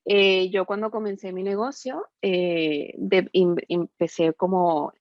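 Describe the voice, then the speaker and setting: A woman's voice: medium pitch, takes it slowly, dry recording, highs cut off. A middle-aged woman with a Venezuelan accent giving conversational interview answers over an online call, talkative and often adding little tag questions. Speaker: podcast guest, entrepreneur and business consultant. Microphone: remote online call.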